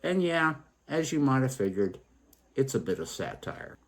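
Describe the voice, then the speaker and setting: A man hosting a story program, telling tales and reflecting on their morals in a deliberate, emphatic way. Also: medium pitch, sing-song. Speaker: storytelling show host.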